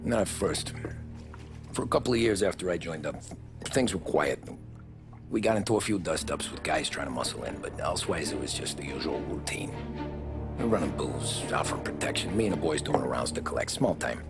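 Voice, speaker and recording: An actor playing a tough, streetwise mobster who recounts his past with laconic calm. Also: extremely low pitch, fairly quick, gravelly, heavily acted. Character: seasoned male mobster telling his story. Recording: game cutscene with music.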